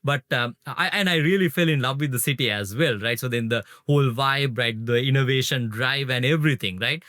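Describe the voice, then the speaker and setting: A man's voice: mid-range voice, brisk, bright, forceful tone, no room sound. A man from Sri Lanka, a startup founder speaking as a podcast guest in a mid-range voice. He talks in loose, rambling runs and tags "right?" and "like, you know" onto nearly every phrase. The sound is dry and close. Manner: many fillers, keeps adding "right?" and "like, you know". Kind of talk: casual interview talk.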